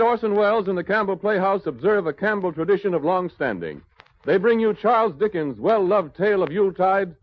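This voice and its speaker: Old 1930s radio announcer guy, american, higher voice, formal and polished, music with it, dull sound.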